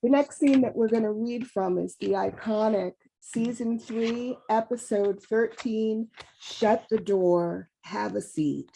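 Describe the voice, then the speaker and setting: A woman's voice: on the high side, slow pace, gravelly, mellow, a little hiss or hum, low-quality recording. A woman introducing scenes as announcer at an amateur script reading.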